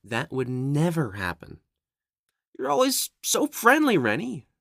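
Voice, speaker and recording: A woman voicing a bubbly, friendly, excitable young creature with an exuberant, chatty manner, in an ASMR audio roleplay. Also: low voice, measured pace, gravelly, dry recording, studio quality, exaggerated voice.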